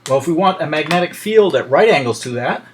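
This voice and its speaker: A man's voice: fairly high pitch, lively intonation, fast talker, a little hiss or hum. A man lecturing in an informal, explanatory way, thinking aloud with frequent hesitations.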